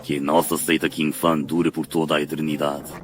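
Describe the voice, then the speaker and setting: A zealous sect disciple, voiced by a man in a motion comic dub, proclaiming his master's glory. His voice is very low, with faint ambient sound behind. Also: flat delivery, moderate pace, background noise. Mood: fervent. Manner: fervent, declaiming. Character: zealous male sect disciple, devout and fierce. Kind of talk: dubbed motion comic acting.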